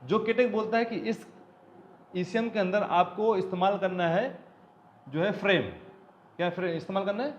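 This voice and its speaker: High-voiced man teaching electronics repair. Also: moderate pace, long pauses. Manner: explains step by step, asks and answers his own questions. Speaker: automotive electronics trainer. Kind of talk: hands-on technical tutorial. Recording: slightly dull recording, faint background noise.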